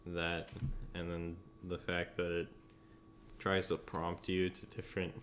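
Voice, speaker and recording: A man with a deep voice talks casually as a panelist. His delivery is tentative and halting, with faint noise in the background. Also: monotone, a bit bright. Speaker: roundtable panelist. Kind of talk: casual chat.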